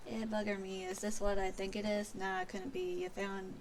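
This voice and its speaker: A woman voicing a gruff, hard-of-hearing old dockside overseer in salty dialect for a game, with clipped words. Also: mid-range voice, level tone, normal speed, slight background noise, natural voice. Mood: blustery, gruff. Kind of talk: game dialogue read aloud.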